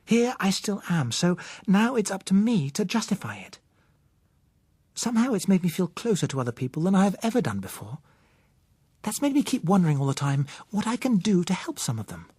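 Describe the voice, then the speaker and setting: A man voicing an earnest young woman, softly husky. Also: sits high, very animated, a little fast, no echo, pitched above the performer's own voice, heavily acted. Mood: earnest pleading. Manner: pleading, emphatic. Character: earnest, idealistic young woman, tender and persuasive.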